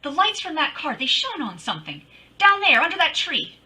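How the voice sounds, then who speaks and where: High, animated radio lady detective played by an actress. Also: brisk, distant mic, full character voice. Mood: alarmed. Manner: dramatic, wry, teasing. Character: witty, feisty woman private detective narrating her case. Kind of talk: 1940s radio detective acting.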